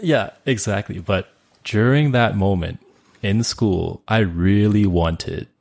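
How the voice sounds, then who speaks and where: A man, his Cantonese. His voice sits very low and has a gravelly fry. He chats loosely as a guest, repeating words and padding with fillers. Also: slow pace, a little mellow, a little hiss or hum. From Canada. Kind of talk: casual interview chat.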